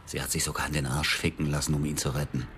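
Very deep-voiced, cold avenging man. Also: level tone, fast, a bit bright, a little hiss or hum. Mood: cold, self-righteous. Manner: cold, defiant, matter-of-fact. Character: bitter, self-righteous widower turned cold-blooded avenging killer. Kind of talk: radio drama acting and first-person narration.

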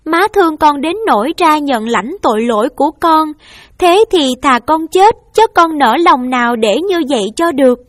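A woman's voice: high voice, lively intonation, normal speed, a bit bright, no echo, clean studio sound, voice pushed up. A woman voicing a young daughter who tearfully begs her parents' forgiveness. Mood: anguished pleading. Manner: tearful pleading.

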